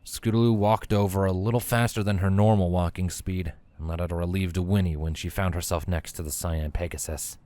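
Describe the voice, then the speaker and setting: A man's voice: deep voice, darkish tone. A man reading scripted story narration as the narrator of a fan fiction tale.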